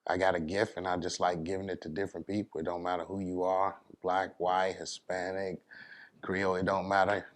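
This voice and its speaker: A middle-aged American man with a fairly low voice talks casually in an interview. He is laid-back and plain-spoken, like a DJ recounting his working life. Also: level tone, a little fast. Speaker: DJ, interview guest.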